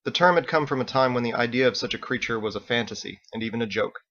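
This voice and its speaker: Gravelly male audiobook narrator. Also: medium pitch, fast talker, sharp tone, dull audio. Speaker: author reading own story.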